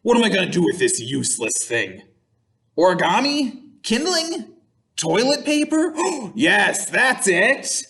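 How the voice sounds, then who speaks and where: A man voicing a mighty, excitable Viking barbarian in a children's storybook, roaring and exclaiming dramatically. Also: high-pitched, a little slow, pitched above the performer's own voice, exaggerated voice. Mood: baffled, excited. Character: mighty male Viking barbarian, excitable and newly book-mad.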